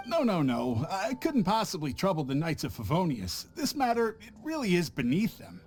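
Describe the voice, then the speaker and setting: A man's voice: high voice, wildly swooping pitch, moderate pace, a little mellow, exaggerated voice. A man voicing a nervous, evasive male merchant in a video game, stammering and covering his slips. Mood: flustered. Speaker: traveling merchant. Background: game music.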